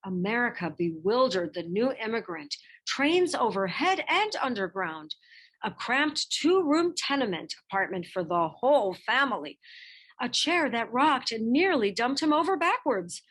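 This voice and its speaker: Slow, lively high-voiced woman reading a storybook. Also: breathy edge, low-quality recording. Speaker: reader of a children's picture book biography. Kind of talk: expressive scripted storybook narration.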